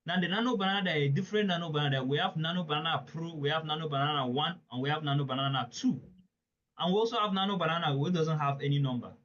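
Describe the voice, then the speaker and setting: A man teaches a live online webinar in a somewhat high voice. He talks earnestly and emphatically and often puts questions straight to his listeners. The sound is dull and lo-fi, like audio from an online call. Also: fast talker, a bit bright. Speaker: webinar presenter.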